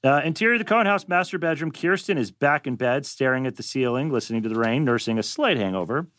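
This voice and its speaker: A man's voice: medium pitch, expressive, fast talker, a bit bright, no echo. A man reading stage directions as the narrator of a scripted table read.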